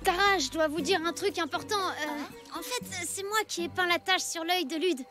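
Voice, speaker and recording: Cartoon little girl: extremely high pitch, sincere, childlike, a bit mischievous, music underneath. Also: moderate pace. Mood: confessing, anxious. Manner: earnest, childlike. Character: earnest, sensitive little girl with a mischievous streak. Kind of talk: French children's cartoon dubbing.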